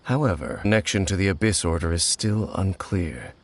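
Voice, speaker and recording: Deep, brooding betrayed warrior. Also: male voice. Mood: grave, pensive. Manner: measured, solemn, formal diction. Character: grim, brooding male warrior betrayed by his kin. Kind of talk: video game dialogue acting. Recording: music under the voice.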